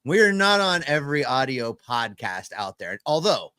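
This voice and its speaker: A man co-hosts a sports podcast in a loose, joking way and also reads the sponsor spots. His voice sits at a middle pitch, and the sound is dry and close, with no room echo. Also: big pitch swings, moderate pace, pushed and bright, smooth. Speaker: podcast co-host. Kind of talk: casual sports podcast chat and host-read ad.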